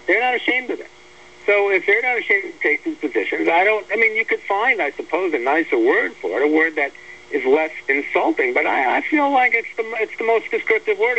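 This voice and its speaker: A man's voice: high voice, sharp tone. An American man giving blunt, emphatic, argumentative political commentary as a guest on a talk radio show. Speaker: guest commentator discussing an article they wrote. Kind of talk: opinionated political radio discussion. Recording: dull lo-fi radio audio, faint hum.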